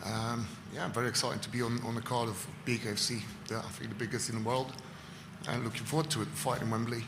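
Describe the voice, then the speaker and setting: A man from Poland, a fighter, introducing himself softly with many fillers at a press conference. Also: mid-range voice, steady tone, fast talker, reverberant, noisy background.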